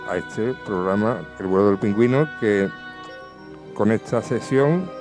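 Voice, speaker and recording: Low-voiced Andalusian male radio host. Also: lively intonation, measured pace. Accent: Andalusian Spanish. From Seville, Spain. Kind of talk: casual radio presenting and chat. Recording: music clearly under the voice. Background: background music.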